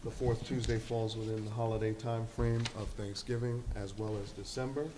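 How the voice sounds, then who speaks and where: A man's voice: fairly low pitch, little pitch movement, moderate pace. A man chairing a public commission meeting, speaking in a formal, procedural way. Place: council meeting room. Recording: meeting room with clear background noise.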